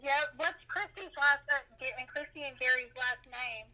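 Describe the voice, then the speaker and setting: A woman with a high, piercingly bright voice speaks through a telephone speaker. She keeps a casual but skeptical tone, questioning the caller. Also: normal speed, rough recording. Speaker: prank call recipient. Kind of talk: casual phone conversation. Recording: voice over a phone speaker.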